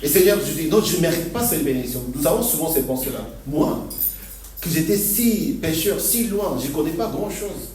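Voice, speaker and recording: Male pastor, stop-start delivery with frequent laughs, mellow voice; distant mic in an echoey church hall. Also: a little high, animated, slight background noise. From Argentina. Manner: halting, repeats words, laughs often. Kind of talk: sermon.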